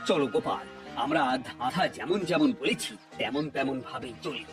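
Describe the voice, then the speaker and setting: Man playing an animated, regal cartoon king. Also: mid-range voice, moderate pace, over music, lightly acted. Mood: commanding, curious. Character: kindly, authoritative Bengali maharaja who protects animals. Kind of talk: cartoon voice acting.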